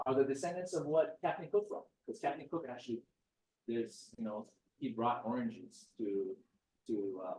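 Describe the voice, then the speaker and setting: A man's voice: mid-range voice, far from the mic. A man from Hawaii, giving a casual, joking talk as a guest speaker. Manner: chatty, joking, digressive. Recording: echoey room, voice from off mic.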